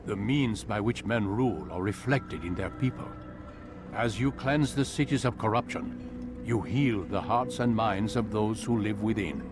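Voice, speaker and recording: A man voicing a commanding, evasive master of an assassin order in a video game, measured and lecturing. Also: lowish voice, close to an everyday voice. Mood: stately. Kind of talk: video game cutscene dialogue. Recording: music behind the voice.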